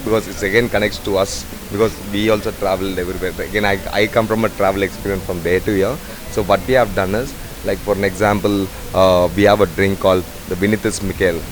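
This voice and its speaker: A man's voice: low-pitched, steady tone, fast, a crowd in the background. A man, a head bartender talking in a rambling way with many fillers. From Sri Lanka. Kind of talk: casual interview. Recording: noisy bar ambience.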